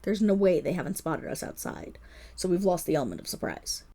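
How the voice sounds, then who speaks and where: A woman acts out a boastful, flustered female superhero at a press conference in an audio roleplay. Her voice is raspy with some fry and swings widely in pitch. She rambles dramatically and defensively, like someone pushed into apologizing. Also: sing-song, a little fast, dark tone, full character voice. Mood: boastful, animated. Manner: rambling, defensive, dramatic storytelling with nervous laughs.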